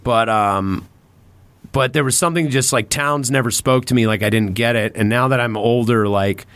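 A man with a mid-pitched voice hosts a relaxed, casual conversation. He feels his way through sentences, hesitating and rewording as he rambles. The sound is very dry, with hardly any room in it. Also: moderate pace, no echo. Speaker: podcast host. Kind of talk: casual chat.

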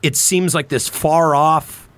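A man's voice: a little high, fairly even intonation, slow pace, smooth, no room sound. A man in casual political discussion on a podcast, repeating and rephrasing as he builds his point.

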